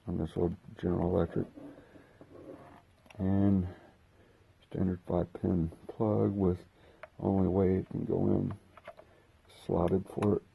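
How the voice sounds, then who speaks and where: A man hosting a casual unboxing video, talking haltingly as he thinks aloud while handling gear. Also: deep voice, vocal fry, phone-quality sound, a little hiss or hum. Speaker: host of a hobby unboxing video. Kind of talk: casual unscripted product walkthrough.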